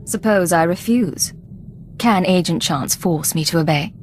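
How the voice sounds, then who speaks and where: A woman's voice: lowish voice, a little slow, slight background noise, natural voice. An actress playing a cool, blunt female Imperial agent in a video game, curt and commanding. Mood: cool, confident.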